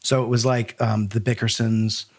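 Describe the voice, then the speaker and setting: A man's voice: fairly low pitch, level tone, normal speed, a little mellow. A man hosting a podcast in a casual, informal way, talking in loose run-on anecdotes. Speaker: podcast host. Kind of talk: casual interview chat.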